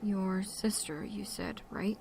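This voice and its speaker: A woman's voice: medium pitch, slow pace, vocal fry, darkish tone, slight background noise. A woman acting a tough, wry, questioning ranger with a casual manner in a zombie audio drama.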